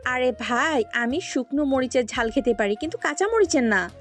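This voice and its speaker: A woman's voice: high voice, expressive, moderate pace, faint music behind. A woman with a Bangladeshi accent playing a cheeky young best friend in an audio story, slangy and mock-complaining. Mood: mock complaint.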